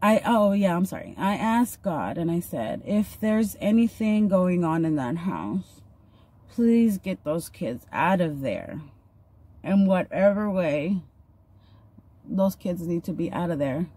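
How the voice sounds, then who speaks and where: Slow, mellow-voiced woman in her thirties. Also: fairly low pitch, animated, husky, no room sound. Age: 30s. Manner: chatty, filler-heavy, earnest. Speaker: vlogger. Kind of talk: casual storytelling while doing makeup. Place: parked car, indoors. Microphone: phone camera at arm's length.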